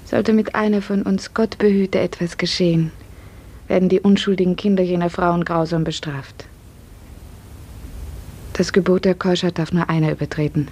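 A woman's voice: lowish voice, steady tone, normal speed, long pauses, a little mellow, slightly rough. A woman playing an earnest, oppressed nineteen-year-old serf actress in a 1950s radio play, speaking with intensity. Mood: fearful, bitter.

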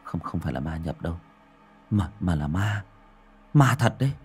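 A man's voice: mid-range voice, expressive, slow, a bit bright, faint music behind, voice pushed up. A man voicing a terrified young village man who stammers in panic. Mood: trembling.

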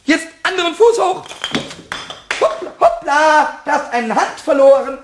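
A mischievous little kobold with a high, squeaky, giggly voice. He swoops all over his range in a slow, stammering, childish way. It is a vivid radio play character. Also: expressive, noisy background. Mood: playful. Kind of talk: 1960s radio play acting.

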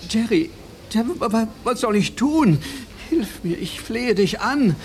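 A jittery comedian who stammers and pleads in a high, swooping voice. Faint tape hiss sits under the radio-play recording. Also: male voice, animated, a little slow. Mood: pleading. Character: neurotic stammering comedian trapped in a bodybuilder's body. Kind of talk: 1980s German radio play acting.

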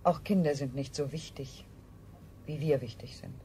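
A woman's voice: sits low, moderate pace, mellow, far from the mic, slight background noise, close to an everyday voice, rough recording. An actress playing a resolute female survivor in a 1970s German radio play, speaking in stern, declarative phrases.

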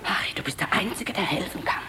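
An actress playing a middle-aged stage star in a radio play, theatrical, bossy and teasing. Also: female voice, fairly low pitch, lively intonation, fast talker, whispered, close to an everyday voice. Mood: urgent, hushed. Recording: noisy radio drama with crowd behind.

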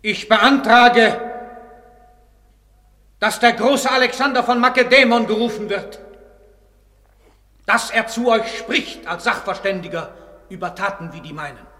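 An actor playing a proud, pompous Roman general who declaims indignantly in theatrical radio drama style. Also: male voice, high-pitched, a little slow, pauses often, pushed and bright. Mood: imperious. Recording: long cavernous hall reverb.

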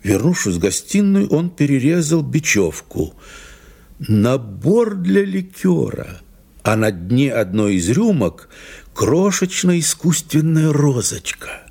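A man's voice: on the high side, very animated, takes it slowly, gravelly, warm tone, breathy edge. A man reading scripted narration as the storyteller of an audio drama. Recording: music under the narration.

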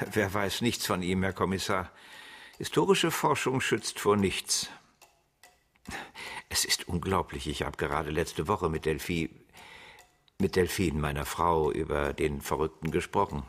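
An actor playing a proud, bitter, erudite betrayed husband in a German radio crime drama, articulate and disdainful, with a husky voice. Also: male voice, sits low, a little slow, fairly bright tone, full character voice. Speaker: actor playing a murder suspect.

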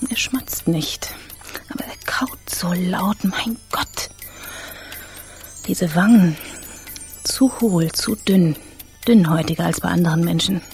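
High-strung woman, half-whispering and creaky. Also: darkish tone. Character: high-strung, fastidious, self-absorbed woman, easily irritated. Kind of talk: radio play interior monologue.

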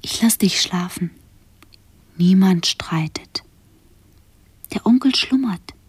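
A woman's voice: medium pitch, slow, a little mellow, slightly rough, no room sound. A woman playing a tender, pitying figure in a radio drama, speaking in a soothing, hesitant way. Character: tender, pitying woman hovering over a sufferer. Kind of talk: 1970s German radio drama acting.

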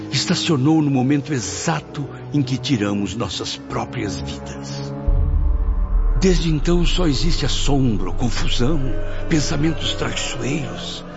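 A man voicing a gravelly, tormented lost soul. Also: mid-range voice. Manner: brooding, dramatic, rising to terrified shouts. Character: anguished male suicide in a dark afterlife cave. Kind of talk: radio drama acting. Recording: dull lo-fi audio over music.

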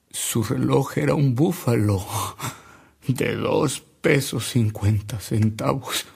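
Gravelly, weary Mexican storyteller. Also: male voice, medium pitch, darkish tone, full character voice. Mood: wry, tender. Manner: measured, reflective, dramatic pauses. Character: weary, reflective man recalling a saintly bishop's deeds. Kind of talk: radio drama storytelling.

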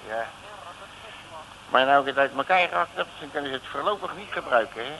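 Cocky, bumbling detective, bright voice. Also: male voice, mid-range voice, animated, a little fast. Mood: breezy, reassuring. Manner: colloquial, blustering, tags phrases with questioning grunts. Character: cocky bumbling comic detective, slangy, blustering, self-satisfied. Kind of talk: 1950s Dutch radio comedy serial acting. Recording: noisy vintage radio recording.

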